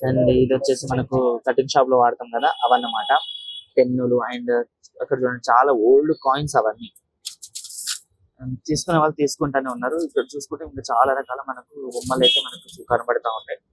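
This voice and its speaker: A man's voice: mid-range voice, steady tone, fast, husky, no room sound, low-quality recording. A man giving a casual walk-through vlog commentary, explaining things directly to viewers as he goes. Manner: explanatory, repetitive filler tags, addressing viewers directly. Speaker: vlogger, market tour host.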